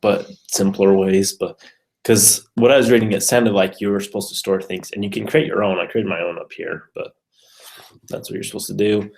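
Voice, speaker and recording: A low-voiced man with a creaky, gravelly edge gives a casual walkthrough of code. He thinks aloud as he goes, sounding unsure and hesitant, like a student showing his work. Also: steady tone, normal speed, darkish tone, rough recording.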